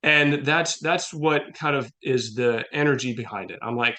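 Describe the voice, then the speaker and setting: Bright-voiced middle-aged man chatting as interview guest. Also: mid-range voice, moderate pace. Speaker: guest playwright. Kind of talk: casual conversational interview.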